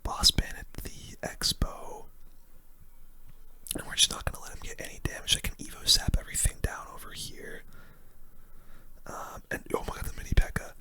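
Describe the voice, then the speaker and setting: A person giving casual, slangy, mildly grumbling gaming commentary in a breathy ASMR whisper. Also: moderate pace, pauses often, bright, forceful tone. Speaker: gaming video host.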